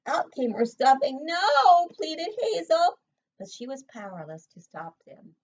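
An older woman and preschool teacher reading a picture book aloud at home, pausing to ask the children questions. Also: high voice. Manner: expressive read-aloud, asks children questions. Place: home room, indoors. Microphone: webcam at desk. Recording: dull lo-fi webcam audio.

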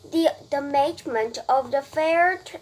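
A woman's voice: high voice, voice pushed up, lightly acted, poor audio. A woman voicing a pompous, formal fairground announcer from a storybook, stumbling here and there as she proclaims. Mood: pompous, proclaiming. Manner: halting, stumbles and repeats words.

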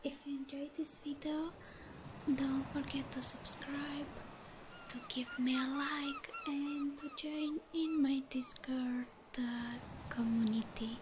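A woman speaks in a high, breathy ASMR whisper, intimate and gentle. Her delivery is extremely slow and halting, close on the mic, with clearly audible ocean-wave ambience washing behind her. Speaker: ASMR creator, host. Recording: steady ambient noise over the voice. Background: loud ambient wave sounds.

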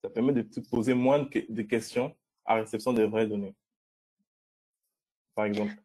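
A man's voice: on the low side, fairly even intonation, moderate pace, mellow. A man co-presenting at an online meetup, explaining informally and somewhat hesitantly, often repeating himself. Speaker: co-presenter answering questions. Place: video call.

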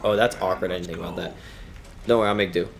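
Gravelly low-voiced gamer man. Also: fairly even intonation, rapid-fire, slight background noise. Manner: chatty, self-mocking, calls out moves. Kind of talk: casual banter while playing.

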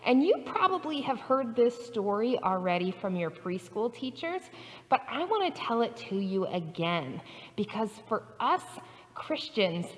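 A woman pastor, her voice a little high and full of swooping expression, tells a story slowly and carefully for small children. She speaks in a church sanctuary, picked up by a distant camera, so her words ring with a long echo. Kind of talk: telling a Bible story to preschoolers. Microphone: far camera.

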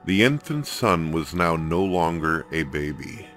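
A male narrator with a very low voice and slow delivery. He reads a scripted story gravely and dramatically, with clear music behind him. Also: animated, fairly bright tone.

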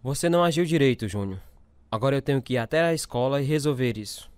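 A man's voice: mid-range voice, fast, darkish tone, smooth, dry recording, natural voice. A man playing a stern, hard-nosed military father in a radio drama.

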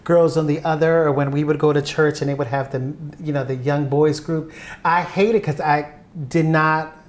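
A man's voice: on the high side, fairly even intonation, moderate pace. A man from Philadelphia hosting an interview show, asking questions and closing the episode with a toast and sign-off. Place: apartment kitchen, indoors.

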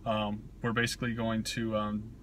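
A man's voice: on the low side, completely flat delivery, normal speed, a bit bright, slight background noise. A man in his thirties hosting an amateur radio video, chatting and joking with a friend inside a parked car. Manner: joking, laughs often. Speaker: amateur radio operator and channel host. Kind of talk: casual chat. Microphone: camera on the dashboard.

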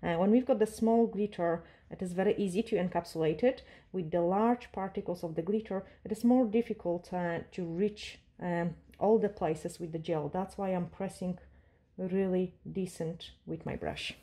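Calm woman teaching a nail tutorial. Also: lowish voice, moderate pace, few pauses. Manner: calm, instructive, with frequent fillers and non-native grammar. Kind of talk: step-by-step tutorial narration while working. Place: nail salon desk.

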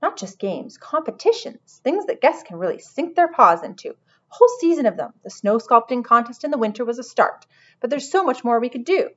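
A woman performs a self-important male lizard who runs a hotel front desk in a children's storybook. He is emphatic and easily worked up, with a raised, very animated voice and slightly dull sound. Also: a little high, fairly quick, pitched above the performer's own voice. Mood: gleeful, boastful. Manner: pompous, emphatic. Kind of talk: children's audiobook character voice.

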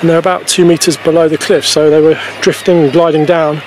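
A man in his forties talking casually to camera as a wildlife photography vlogger, explaining things in a halting way on an outdoor camera microphone. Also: on the high side, flat delivery, a little mellow, a little hiss or hum. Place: outdoors on a grassy coastal clifftop. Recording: poor camera-mic sound outdoors, music behind.

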